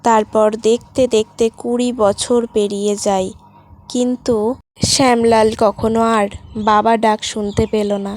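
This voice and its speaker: A woman narrator with a fairly high voice tells a sad cartoon story. She reads from a script in a storytelling style. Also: little pitch movement, a little slow, a little mellow, no echo.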